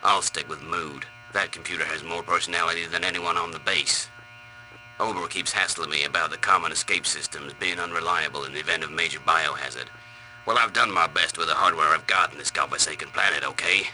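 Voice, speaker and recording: Cocky techie, deep and very sharp. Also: male voice, a little fast, soft background music, full character voice, rough recording. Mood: bored, grumbling. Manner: sarcastic, boastful, grumbling. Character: cocky, disgruntled male computer operator on Mars base. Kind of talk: video game audio log acting.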